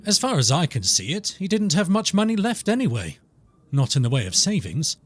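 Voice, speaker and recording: A formal, discreet banker, played by a man, dry in his remarks. The voice is husky and lilts up and down expressively, in audio drama style. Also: on the high side, big pitch swings, fairly quick. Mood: dry, confiding. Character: discreet, formal male bank manager. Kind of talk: podcast audio drama acting.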